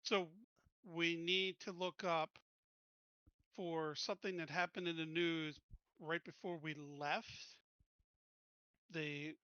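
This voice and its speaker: A man playing in a tabletop roleplaying game, asking eager questions and thinking out loud. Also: on the high side, little pitch movement, slow, pauses often, smooth, dry recording, dull audio. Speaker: player. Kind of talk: casual chat in a tabletop game.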